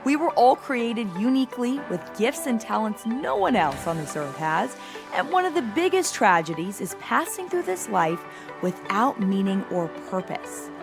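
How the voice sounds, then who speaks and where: A woman's voice: on the high side, big pitch swings, moderate pace, music in the background. A woman in her thirties from South Florida hosts her own podcast as a life purpose coach. She talks in an upbeat, enthusiastic and confiding way. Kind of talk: personal podcast monologue.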